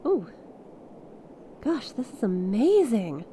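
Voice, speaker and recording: A woman playing an earnest, awestruck stranded space pilot in an ASMR audio roleplay, speaking expressively and haltingly. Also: sits high, slight background noise, full character voice. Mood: amazed, delighted. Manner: expressive, halting, conversational, with small laughs and breathy exclamations. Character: stranded young female star scout pilot, awestruck and earnest.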